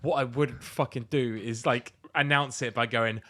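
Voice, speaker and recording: A man's voice: medium pitch, fairly even intonation, moderate pace, sharp tone, dry recording, studio quality. A British man co-hosting a podcast in casual comic chat, ranting and swearing in exasperation. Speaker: podcast co-host.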